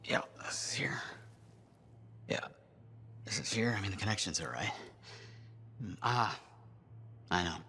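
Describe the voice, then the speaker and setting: An actor playing an eccentric, excitable male inventor split into squabbling selves in a video game, muttering, stammering and bickering with himself. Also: lowish voice, unhurried, creaky, long pauses, slight background noise, exaggerated voice. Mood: absorbed muttering. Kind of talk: cinematic video game acting.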